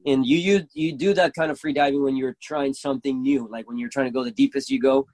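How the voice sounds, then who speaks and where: A man with a Venezuelan accent, a native Spanish speaker, chatting casually as a guest and telling stories excitedly, swearing freely. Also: medium pitch, fast talker, no echo. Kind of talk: casual chat.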